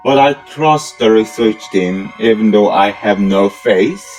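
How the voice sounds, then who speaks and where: A man's voice: on the low side, measured pace, darkish tone, rough recording. A man acting out a scripted amateur audio drama in formal, stilted English with non-native phrasing. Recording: music under the voice. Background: instrumental music.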